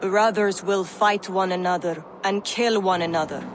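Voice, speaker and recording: A woman's voice: medium pitch, steady tone, slight background noise, lightly acted. An actress playing a solemn, fatalistic Norn prophetess in a video game, chanting her words like an oracle. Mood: ominous. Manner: slow, oracular, incantatory. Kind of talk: dramatic video game acting.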